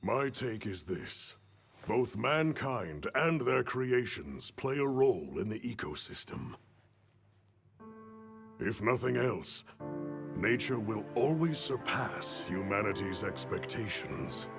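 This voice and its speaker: An actor playing a grave, formal older commander in a fantasy game, speaking with deliberate authority and a gravelly voice. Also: male voice, on the low side, very animated, full character voice. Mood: assured, philosophical. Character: grave, measured older male commander of a hunters' guild. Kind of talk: cinematic fantasy game acting. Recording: music behind the voice.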